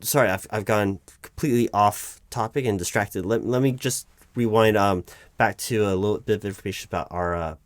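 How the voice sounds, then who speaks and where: A man playing a nervous, polite recruiting manager in a job interview roleplay, speaking aloud in a halting, stammering, apologetic way. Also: lowish voice, no echo, natural voice. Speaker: roleplay performer. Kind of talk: one-sided job interview audio roleplay, spoken aloud.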